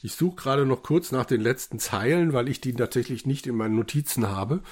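A man with a mid-pitched, rather raspy voice co-hosts a podcast. He recaps and reviews in a relaxed conversational style, as in an informal chat. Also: brisk. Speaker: podcast co-host.

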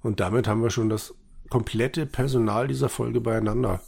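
A man's voice: medium pitch, fairly even intonation, moderate pace, gravelly, a little mellow, breathy edge. A man co-hosting a podcast, casually retelling an audio drama with appreciation and quoting lines from it. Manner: appreciative, quotes characters' lines while retelling. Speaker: podcast co-host.

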